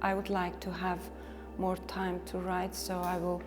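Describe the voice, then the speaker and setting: A woman's voice: fairly low pitch, completely flat delivery, a little slow, long reverb tail, music in the background. A middle-aged Icelandic woman with an Icelandic accent, speaking reflectively in an interview and often restarting her words. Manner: restarts, repeats words while thinking. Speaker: novelist, interview guest.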